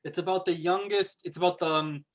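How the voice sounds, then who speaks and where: A man's voice: high-pitched, steady tone, a little fast. A man hosting a casual conversation, joking and easygoing. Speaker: host.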